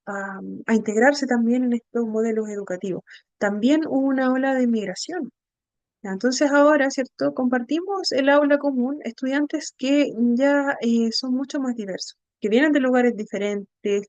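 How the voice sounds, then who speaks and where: Woman, Chilean accent, slightly high pitch, dry recording, informal class lecture, halting delivery, frequent tag questions. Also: darkish tone, no echo, muffled recording. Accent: Chilean Spanish. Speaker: instructor of an inclusive education class.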